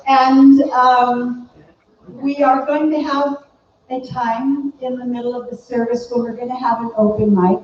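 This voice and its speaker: A woman welcoming guests to a memorial service, speaking from the heart in a halting way with many pauses and ums. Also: high voice, little pitch movement, a little hiss or hum. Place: large hall at a memorial gathering. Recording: dull, very echoey hall, mic far off.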